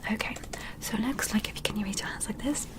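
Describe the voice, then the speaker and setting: A woman performing an ASMR medical roleplay as a polite alien examiner, speaking gently and methodically with soothing reassurance. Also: mid-range voice, quick pace.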